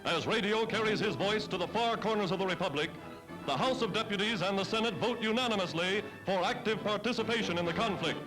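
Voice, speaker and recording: A man's voice: sits high, quick pace, rough recording. An American man narrating a scripted 1940s wartime newsreel in an emphatic, declamatory manner, on a vintage soundtrack. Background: loud-ish music under the voice.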